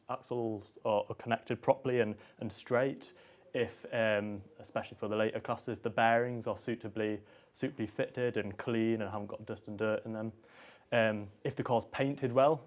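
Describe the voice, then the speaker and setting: A man's voice: fairly low pitch, a little mellow. A man explaining car stats and build quality in an eager, explanatory way, with some restarts. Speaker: technical analyst. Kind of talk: technical commentary.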